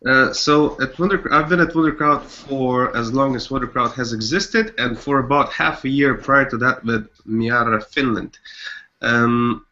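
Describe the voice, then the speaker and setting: A man's voice: mid-range voice, measured pace, pushed and bright, highs cut off. A man from Canada giving an informal online presentation as a developer. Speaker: front-end developer presenting a project.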